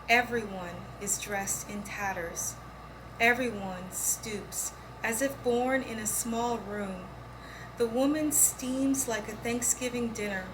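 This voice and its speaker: A woman reading poems aloud as a poetry reader. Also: on the high side. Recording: clear background noise, room ambience.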